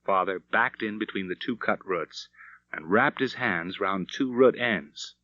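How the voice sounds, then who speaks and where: A man's voice: lowish voice, unhurried, bright, forceful tone, no room sound. A man narrating a Western novel as an audiobook, telling the story in a dramatic, vivid way. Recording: slightly dull, dry studio recording.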